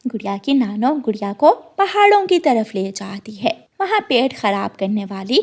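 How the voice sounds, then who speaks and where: A woman storyteller narrates a children's cartoon from a script. Her voice is high, and her intonation moves in wide, lively sweeps. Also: moderate pace.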